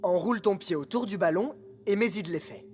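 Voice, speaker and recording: A man voicing an earnest, determined young male footballer in an animated series, eagerly explaining. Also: high voice, a little fast, background noise, lightly acted. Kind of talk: French animated series dubbing.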